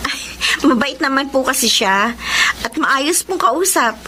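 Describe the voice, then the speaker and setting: A polite young woman with a high, gentle voice. She speaks respectfully, as a sweet daughter in a radio drama. The recording is clearly noisy. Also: animated, measured pace, exaggerated voice. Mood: polite, assured. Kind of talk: Filipino radio drama acting.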